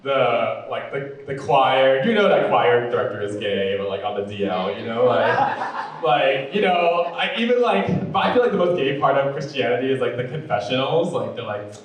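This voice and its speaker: A male stand-up comedian with a deep voice and a sweet, fruity cadence, telling jokes in a campy, chatty way full of "like" and "you know". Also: very animated, normal speed, distant mic. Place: comedy club stage. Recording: very echoey room, voice off-mic.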